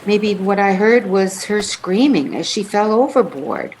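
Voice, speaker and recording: A woman playing a timid, flustered passenger in a radio play, speaking haltingly. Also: medium pitch, lively intonation, darkish tone, a little hiss or hum, poor audio. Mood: flustered, hesitant. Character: timid, hesitant female boat passenger.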